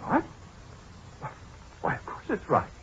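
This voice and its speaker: An actor playing a proud, pedantic scientist in a 1940s radio drama, lecturing precisely with small hesitant interjections. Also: male voice, slow pace, a bit bright. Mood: flustered. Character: proud, pedantic male scientist showing off a giant computer. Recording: dull, muffled 1940s radio broadcast.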